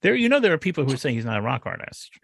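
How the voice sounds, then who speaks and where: A man co-hosting a casual podcast, asking animated, jokey questions in a voice he calls higher. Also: very fast, creaky, no room sound, studio quality. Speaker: podcast co-host.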